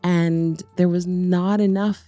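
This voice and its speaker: A middle-aged woman, a photographer born in Guyana, telling her story in an interview in a reflective, unhurried way. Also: fairly low pitch, steady tone, dark tone, no echo, soft background music. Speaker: photographer guest. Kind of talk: interview conversation.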